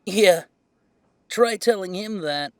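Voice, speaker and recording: A man's voice: high-pitched, takes it slowly, a bit bright, no echo, heavily acted. Actor as nervous good-natured man, hesitant, exasperated, dry close recording, audio drama. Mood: defensive, flustered. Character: Chester, anxious, good-natured man intimidated by his disapproving father. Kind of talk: audio roleplay drama acting.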